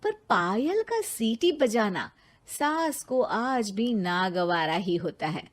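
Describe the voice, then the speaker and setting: A woman's voice: mid-range voice, very animated, takes it slowly. A woman reading scripted narration for a cartoon family story.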